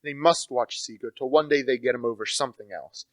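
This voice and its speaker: Young male actor as insistent amateur detective, medium pitch, self-assured, radio play delivery, dry close recording. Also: brisk, no echo, lightly acted. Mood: urgent. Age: teenager to young adult.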